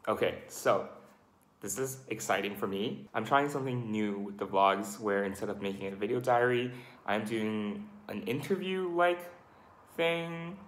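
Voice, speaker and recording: A man's voice: on the low side, a little slow. A young man talking casually as the host and interviewer of a vlog, recorded indoors. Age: young adult. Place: indoors, small room. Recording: roomy indoor echo.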